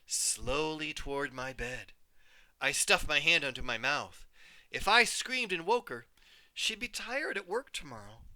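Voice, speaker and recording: Bright, expressive male storyteller. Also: medium pitch. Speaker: teacher reading aloud. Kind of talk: storybook read-aloud narration.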